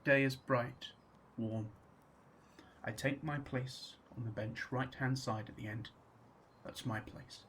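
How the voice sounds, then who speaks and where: An actor playing an anxious, solitary man in a radio drama monologue, measured and introspective. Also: mid-range voice, steady tone, a bit bright, slight background noise. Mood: reflective.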